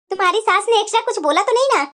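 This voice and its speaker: A high-voiced older mother, acted by a woman in a family story. She is sensible and indulgent. She reasons gently and soothingly. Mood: composed. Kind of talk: scripted family story dialogue.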